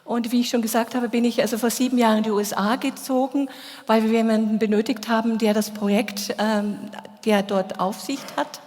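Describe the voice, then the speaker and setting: A woman's voice: fairly high pitch, flat delivery, a little fast, a little mellow. A woman working as an interpreter, rendering a speech into German at a congress. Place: large hall. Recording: long hall reverb.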